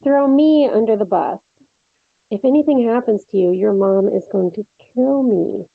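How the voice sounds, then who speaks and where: Female best friend, horror drama, read by an actress: teasing, protective, wry, gravelly fry, dull lo-fi sound. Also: mid-range voice, unhurried, no echo. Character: Lex, teasing, protective young woman friend. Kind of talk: table read of a horror film script.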